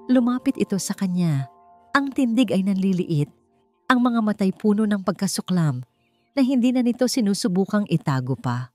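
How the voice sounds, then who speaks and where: A woman with a Filipino accent narrating a scripted romance story in a dramatic, deliberate way. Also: mid-range voice, very animated, darkish tone, dry recording, soft background music.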